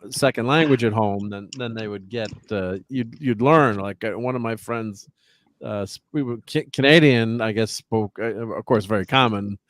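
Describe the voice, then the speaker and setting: A man's voice: medium pitch, no echo. Male host, casual rambler, lots of fillers, dry close mic. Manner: rambling, full of fillers and restarts. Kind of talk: casual chat.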